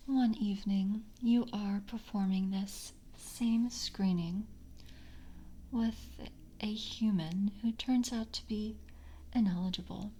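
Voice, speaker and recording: A woman playing a polite, composed vampire coven member in an ASMR roleplay, speaking softly and breathily, often in a whisper, with a gentle, measured interviewer's manner. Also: medium pitch, steady tone. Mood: calm, businesslike. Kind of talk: soft-spoken ASMR roleplay.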